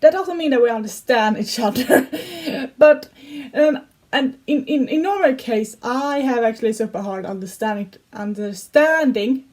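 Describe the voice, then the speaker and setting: A woman's voice: fairly high pitch, lively intonation, moderate pace, a little mellow. A young woman with a Swedish accent chatting casually in a reaction video, giggly, with slightly broken English grammar. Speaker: YouTuber reacting to songs.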